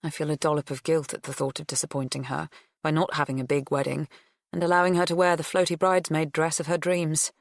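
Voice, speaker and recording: Female narrator for first-person fiction audiobook. Low register, very dry recording. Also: little pitch movement, quick pace, gravelly, dry recording.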